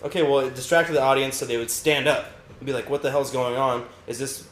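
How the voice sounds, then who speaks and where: A man's voice: mid-range voice, quick pace. A young man co-hosting a casual podcast with friends, joking and laughing as he retells a story. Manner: jokey, laughs often, retells stories with animated asides. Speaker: podcast co-host. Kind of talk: casual chat among friends. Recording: echoey room with faint background hiss.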